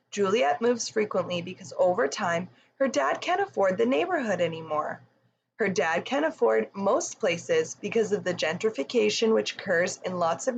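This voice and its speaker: A woman's voice: mid-range voice, gravelly, highs cut off, a little hiss or hum. A woman narrating a children's book as a scripted read-aloud. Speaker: storybook narrator.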